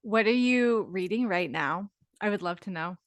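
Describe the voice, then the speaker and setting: A woman's voice: medium pitch, moderate pace, dry recording. Reflective woman podcast host, dry sound. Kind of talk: casual conversational interview.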